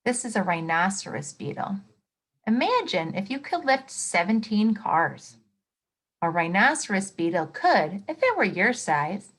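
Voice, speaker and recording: A woman's voice: medium pitch, big pitch swings, unhurried, a bit bright. A woman teacher reading a nonfiction book aloud to children, expressively, with exclamations, explanatory asides and questions to her young listeners.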